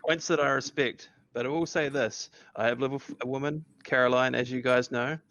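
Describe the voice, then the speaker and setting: Man reading rambling young guy, gravelly, dry dull lo-fi. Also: mid-range voice, fairly even intonation, brisk, a bit bright, no echo, lightly acted. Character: rambling young man wary of living with women. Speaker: podcast host reading a part. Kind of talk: amateur table read.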